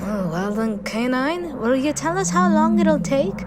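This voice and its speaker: Woman playing a high-voiced, eager young companion. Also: a little slow, very noisy. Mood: restless. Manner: inquisitive, expressive. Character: eager, curious young female travelling companion. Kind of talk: fan audio drama acting. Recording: voice over loud music and effects.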